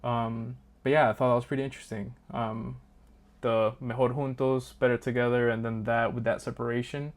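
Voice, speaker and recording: A man at an ordinary middle pitch talks casually, like he's walking a friend through a slideshow of his street photos. He wanders off track and restarts his sentences, filling the gaps with easygoing ums. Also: normal speed. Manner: relaxed and rambling, many ums and restarts. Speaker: street photographer and channel host.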